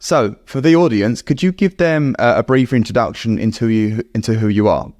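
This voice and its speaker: A man's voice: medium pitch, moderate pace, darkish tone, dry recording, clean studio sound. A man hosting a podcast, reading a scripted intro and then asking encouraging interview questions. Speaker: podcast host.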